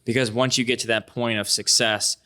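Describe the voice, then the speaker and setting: A man hosting a radio talk show who asks questions and finishes his guest's thoughts in a quick, affirming way. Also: medium pitch, little pitch movement, bright, forceful tone. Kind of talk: casual radio interview.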